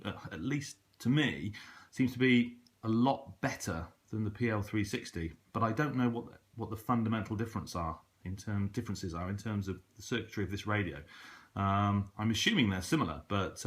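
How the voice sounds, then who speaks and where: A man's voice: low voice, a bit bright. A British man giving a casual, unscripted product review in a hesitant, conversational way. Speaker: hobbyist radio reviewer. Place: indoors at a table in a room.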